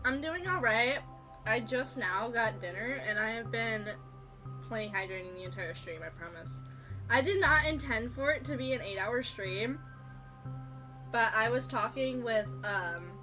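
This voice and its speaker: A woman's voice: on the high side, low-quality recording. A woman livestreamer chatting casually and informally with viewers during a gaming stream. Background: game music.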